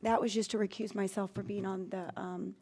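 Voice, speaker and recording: A woman on a city council speaks in a mellow, darker tone with little rise or fall. She is polite and a little hesitant, with a few fillers along the way. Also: medium pitch, level tone, brisk, creaky, a little hiss or hum. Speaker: council member. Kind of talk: council meeting remarks.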